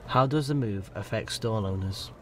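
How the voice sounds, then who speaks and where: A man's voice: on the low side, moderate pace, a little hiss or hum. A man reading a scripted voice-over as a reporter for a local newsreel. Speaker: news reporter narrating voice-over.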